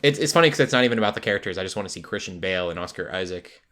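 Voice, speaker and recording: Male podcast host with a slightly deep but bright, forceful voice, in relaxed casual chat. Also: racing. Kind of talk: casual chat about shows and films.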